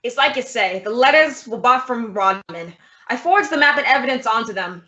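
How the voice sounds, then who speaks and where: A cornered male swindler, played by a young woman who blusters defensively in a fairly high, bright voice. Old-time radio drama delivery. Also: expressive, moderate pace, dull audio.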